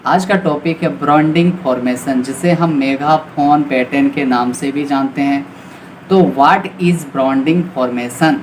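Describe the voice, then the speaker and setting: A man with an Indian accent giving an informal, explanatory beginners' lesson as a trading tutor, often checking in with short confirmations. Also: fairly high pitch. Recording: clearly noisy recording with background ambience.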